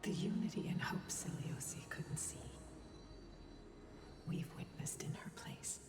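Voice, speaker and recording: A woman voicing an ancient, gentle female spirit guardian in a game, speaking solemnly and breathily with a ghostly reverb. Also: on the low side, animated, measured pace, in a whisper. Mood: solemn, wistful. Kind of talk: solemn scripted game dialogue. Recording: long echoing reverb, distant, music behind. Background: game music.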